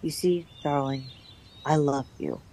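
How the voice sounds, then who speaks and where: A woman's voice: low-pitched, steady tone, a little slow, mellow, a little hiss or hum. A woman playing a bold, pragmatic young woman and secretive lover in a radio drama, speaking in endearments. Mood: frank, conspiratorial. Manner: endearing, frequently says darling.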